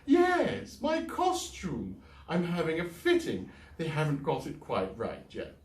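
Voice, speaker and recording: A man's voice: on the high side, huge pitch swings, slow pace, a little mellow, pitched above the performer's own voice, full character voice. A man doing a trilling theatrical diva. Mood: gushing theatrical. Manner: trilling, gushing, breaks into song. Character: theatrical grand actress woman, gushing diva. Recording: roomy echo.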